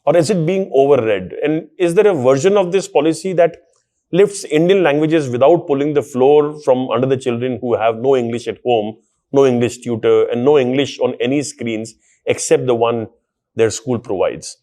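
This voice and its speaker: A man's voice: medium pitch, moderate pace, dark tone. A man hosting a panel discussion, setting out background and asking pointed rhetorical questions. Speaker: host and moderator.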